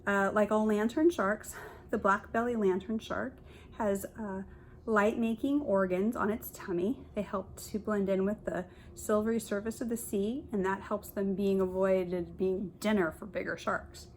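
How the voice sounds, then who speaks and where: A woman's voice: medium pitch, steady tone, measured pace, darkish tone. Woman teacher reading aloud, noticeable background noise. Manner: explains and points things out to children in simple words. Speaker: kindergarten teacher. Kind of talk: picture-book read-aloud with explanatory asides for young children.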